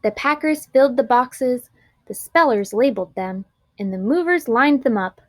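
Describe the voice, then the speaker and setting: A woman reads a children's story aloud as narrator. Her voice is on the higher side and expressive, with clearly enunciated words. The recording is very dry, without audible room echo. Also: moderate pace.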